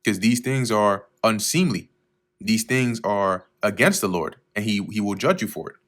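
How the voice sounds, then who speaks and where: A man teaching verse by verse through scripture, reading each verse slowly and then explaining it in a casual way with fillers, often clearing his throat. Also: on the low side, animated, a bit bright. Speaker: Bible teacher.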